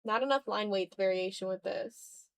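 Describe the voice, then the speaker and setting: A woman's voice: medium pitch, moderate pace, vocal fry, smooth. A woman livestreamer chatting casually while drawing, thinking aloud in fragments with a self-deprecating manner. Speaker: art livestreamer.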